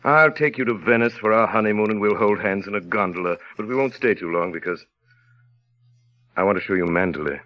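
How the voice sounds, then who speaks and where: An actor playing a brusque, sardonic well-to-do gentleman in a 1930s radio drama, with diction and a clipped, imperious, teasing manner. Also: lowish voice, a little fast, natural voice. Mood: wry, tender. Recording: dull, lo-fi vintage radio recording.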